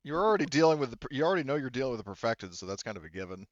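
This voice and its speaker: A man's voice: medium pitch, very animated, rapid-fire, no room sound, muffled recording. A man working as a game master, improvising narration and banter for a tabletop game in a jokey way, feeling out his phrasing as he goes.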